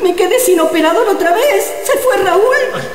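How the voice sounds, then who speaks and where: A woman's voice: very high-pitched, lively intonation, moderate pace, over loud music. A woman acting a flustered radio presenter left without help, in comic radio theatre. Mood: comic dismay. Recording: echoey, noisy, distant sound.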